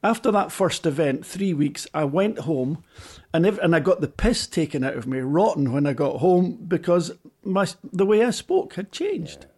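A man's voice: on the high side, lively intonation, normal speed, darkish tone, slightly rough. A Scottish man, a former team boss, chatting animatedly with many stammering restarts. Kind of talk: casual chat.

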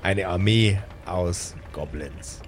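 A man's voice: deep voice, takes it slowly, slight background noise. A man acting as game master, improvising the narration of a fantasy tabletop audio game.

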